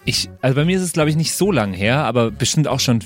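A man's voice: mid-range voice, lively intonation, fast, faint music behind. A man co-hosting a podcast in casual, informal conversation. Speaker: podcast co-host. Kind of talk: casual chat.

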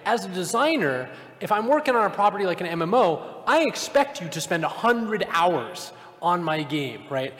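Male panelist, high voice, explanatory with dry wit, long hall reverb. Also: sing-song, moderate pace, a bit bright. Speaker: game designer on a panel. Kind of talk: casual panel talk before a live audience. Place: convention hall.

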